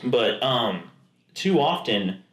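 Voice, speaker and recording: A man's voice: mid-range voice, sing-song, slow. A man co-hosting a podcast in casual chat, rambling with many restarts and quoted asides. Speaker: podcast co-host. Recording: echoey room.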